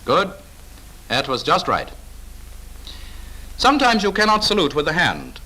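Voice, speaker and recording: Bright-voiced British man, 1940s training film narrator. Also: on the high side, wildly swooping pitch, unhurried, breathy edge, low-quality recording. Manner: clipped, authoritative, instructive. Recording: old 1940s film soundtrack.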